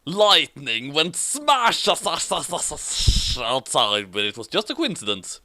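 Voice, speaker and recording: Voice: mid-range voice, sing-song, slow pace, sharp tone. Someone reading a story aloud with a dramatic, giggly delivery and amused commentary. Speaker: reader and commentator of fan fiction.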